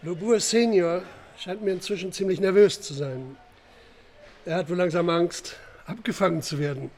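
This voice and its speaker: A man's voice: sits high, moderate pace, a little mellow, a little hiss or hum. A man playing a commanding, driven police chief in a radio crime drama, urgent and insistent. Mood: determined.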